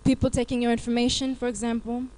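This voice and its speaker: American woman, presenter, slightly high voice, asks the crowd questions, live talk. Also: little pitch movement, quick pace, a little mellow. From Los Angeles, California. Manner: interactive, asks the audience questions. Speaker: IT support advisor presenting. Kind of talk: live workshop talk to students.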